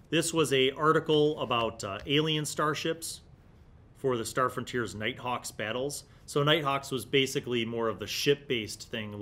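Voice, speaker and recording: A middle-aged man, hosting a casual, nostalgic review in a conversational way. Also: a bit bright. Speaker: host of old gaming content series. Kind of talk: casual vlog commentary and review. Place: small indoor studio room. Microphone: desktop condenser microphone. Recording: clear background noise, low rumble.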